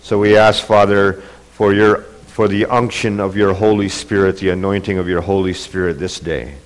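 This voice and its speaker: A man's voice: deep voice, steady tone, moderate pace, poor audio. A man delivers a church sermon through a pulpit microphone, his voice congested from a cold. He reads and preaches earnestly, building in intensity as he goes. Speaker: pastor.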